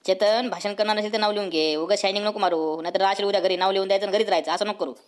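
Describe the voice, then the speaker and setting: Man doing a very rapid-fire strict village schoolmaster. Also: high voice, fairly even intonation, close to an everyday voice. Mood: stern. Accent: rural Marathi. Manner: stern, scolding, threatening. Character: strict, short-tempered village male schoolteacher. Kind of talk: comic cartoon dialogue.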